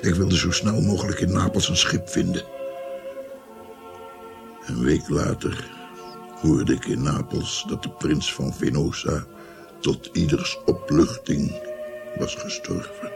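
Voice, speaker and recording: An actor playing an old grandfather in a radio play, recounting his past in a slow, grave, guilt-ridden way. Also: a bass voice, gravelly, over music, full character voice. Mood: grave, recollecting. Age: older. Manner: slow, grave, reflective storytelling. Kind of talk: radio play narration and dialogue.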